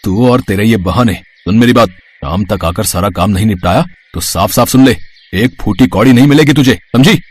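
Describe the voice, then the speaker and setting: A man's voice: mid-range voice, animated, a little fast, hardly any pauses, close to an everyday voice. A man playing a harsh, bullying employer in an audio moral story, curt, scornful and menacing. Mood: angry threatening. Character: harsh, threatening adult male employer, bullying boss.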